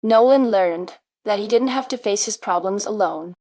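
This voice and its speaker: A woman reading scripted comprehension narration for English learners in a measured, articulate manner. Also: mid-range voice, dark tone, no echo, clean studio sound. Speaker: question-and-answer presenter for English learners. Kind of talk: scripted question-and-answer narration.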